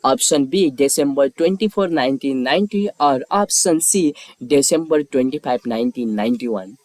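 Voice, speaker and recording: A man's voice: medium pitch, lively intonation, normal speed, darkish tone, no room sound. A man reading scripted quiz questions and lettered answer options as a narrator.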